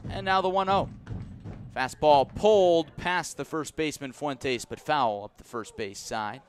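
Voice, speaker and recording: A man calling live baseball play-by-play for the radio in a measured, descriptive way. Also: on the high side. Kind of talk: live radio sports commentary.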